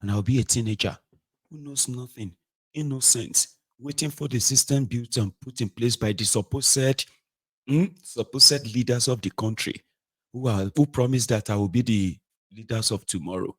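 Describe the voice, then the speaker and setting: A Nigerian man in his forties giving an impassioned political monologue, emphatic and rhetorical, jumping between loud outbursts and quiet asides. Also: medium pitch, normal speed, slightly rough. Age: 40s. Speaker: political commentator.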